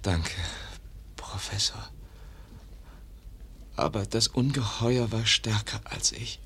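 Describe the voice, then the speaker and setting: A man in a radio play acting a gentle, exhausted young man on his deathbed, speaking haltingly and drifting into whispers. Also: fairly low pitch. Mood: fading, grateful. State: dying, feverish, very weak. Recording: noisy archive radio play recording.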